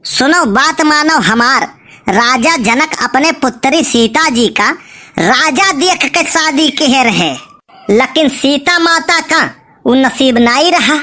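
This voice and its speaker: A woman's voice: sits high, expressive, slow pace, sharp tone, heavily acted. A woman voicing a cheeky, stubborn cartoon matchmaker who coaxes insistently in a colloquial Awadhi village accent. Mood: persuading. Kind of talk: comic cartoon dialogue in village dialect.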